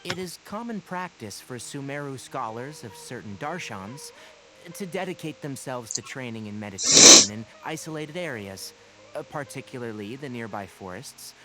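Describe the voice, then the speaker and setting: A man playing a brisk, dry-witted young forest ranger master in a video game, articulate, instructive and mildly exasperated. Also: medium pitch, lively intonation, heavy background noise, natural voice. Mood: calm, explaining.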